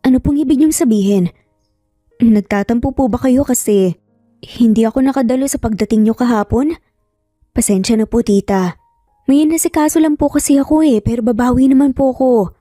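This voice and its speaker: A woman acts out a humble young waitress in love in an audio drama. Her fairly high, mellow voice is nervous and polite. She pleads meekly, with music underneath. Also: brisk, pauses often, no room sound, clean studio sound, natural voice. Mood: nervous, apologetic.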